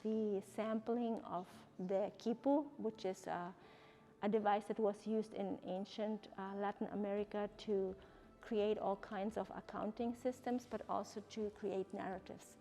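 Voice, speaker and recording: A woman from Ecuador with an Ecuadorian Spanish accent, explaining her exhibition as an artist and professor in measured, hesitant phrasing. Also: medium pitch, steady tone, dark tone. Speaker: artist and art professor presenting own exhibition.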